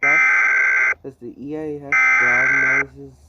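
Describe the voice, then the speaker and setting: Man, casual tutorial host, halting delivery with repeated restarts, middling pitch, heavy background noise. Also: little pitch movement, muffled recording. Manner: hesitant, repeats and restarts phrases often. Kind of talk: casual screen-recording tutorial. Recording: loud background noise, clicking.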